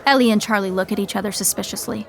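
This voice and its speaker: A woman narrating a scripted sitcom podcast with wry, deadpan asides. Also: mid-range voice, rapid-fire, vocal fry, a crowd in the background, noisy background.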